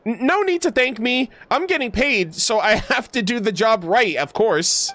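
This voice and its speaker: A man's voice: extremely high pitch, expressive, normal speed, sharp tone, full character voice. A man voicing a timid, overworked young female guild worker in a video game, stammering and pleading. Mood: nervous, stammering.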